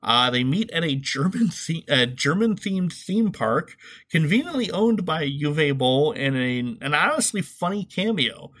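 A man's voice: on the high side, lively intonation, measured pace, a bit bright. A man hosting a podcast, giving comedic, opinionated commentary in a sarcastic way with emphatic asides. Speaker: podcast host.

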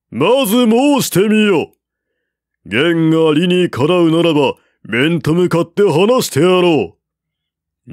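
A man's voice: high voice, darkish tone, no room sound, studio quality, pitched above the performer's own voice. A man voicing a proud older male enemy general who declaims haughtily across the battlefield, in a storybook reading.

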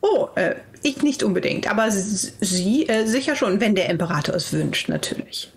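A woman improvises an evasive impostor posing as a visiting dignitary in a tabletop game. She speaks politely but haltingly, in a mid-range voice. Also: medium pitch, sing-song, slight background noise. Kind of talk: improvised tabletop role-play.

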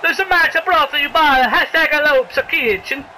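Man putting on a very high-pitched Italian wrestling commentator. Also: moderate pace, heavily acted. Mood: animated. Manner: excitable, exclamatory, stumbling over calls. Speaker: play-by-play commentator. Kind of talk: comic pro wrestling commentary. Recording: clearly noisy background.

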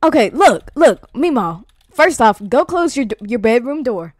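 Woman acting a high, swooping, flustered young hostess. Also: very animated, normal speed, darkish tone, no echo, studio quality. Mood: alarmed, bossy. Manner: dramatic, exclamatory, repeats words. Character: flustered, bossy young woman hosting scary guests. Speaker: player voicing a horror-comedy parody. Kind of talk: improvised voiced roleplay in an online game.

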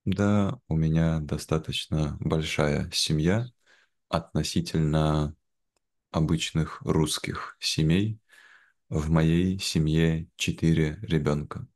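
A young man with an extremely low voice talks at a slow, measured pace. His phrasing is simple and clear, as in conversation meant for language learners. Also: fairly even intonation, a little mellow. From Veliky Novgorod, Russia. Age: young adult. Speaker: interview guest, language teacher. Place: indoor room, video call. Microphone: video call, wearing headphones.

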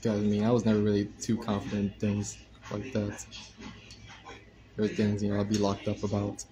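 A man in his twenties chatting casually on a live stream, soft-spoken and hesitant, with many fillers. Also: on the low side, monotone, a little fast, dull audio. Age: 20s. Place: indoor room by a window.

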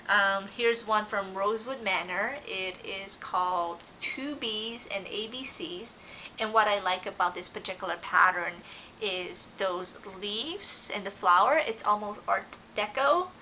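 Chatty young woman, bright clear voice. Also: mid-range voice, a little hiss or hum, low-quality recording. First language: Dutch. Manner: chatty, rambling, frequent ums and self-corrections. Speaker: cross-stitch vlogger. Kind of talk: casual show-and-tell haul. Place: living room couch, indoors. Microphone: fixed camera at close range.

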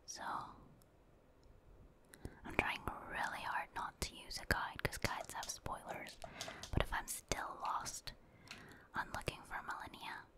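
Whispering woman, ASMR gamer. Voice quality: soft, breathy, unvoiced whisper. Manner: unhurried, musing aloud, addresses viewers casually. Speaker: game streamer, ASMR creator. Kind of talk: whispered ASMR gameplay commentary.

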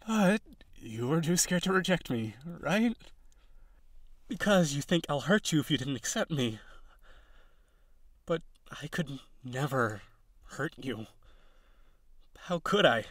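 A man's voice: fairly high pitch, fairly bright tone, no echo. A man acting an earnest, lovelorn harpy, dry close sound. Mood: hurt, pleading. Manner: halting, stammering, pleading. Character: lovelorn young male harpy, earnest, insecure, tender. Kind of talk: intimate audio roleplay acting.

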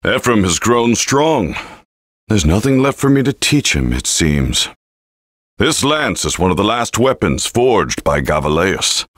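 A man voicing a proud old male general and veteran mentor in a video game, gruff and commanding. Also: fairly low pitch, sing-song, measured pace, a bit bright, no room sound, studio quality, exaggerated voice. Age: older. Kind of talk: game voice clips.

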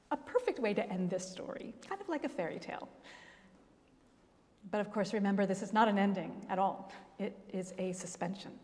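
A middle-aged woman pastor preaching a sermon at a pulpit, telling the story expressively with dramatic pauses. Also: mid-range voice, darkish tone. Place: large church, at a pulpit. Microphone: gooseneck pulpit mic. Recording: long church reverb.

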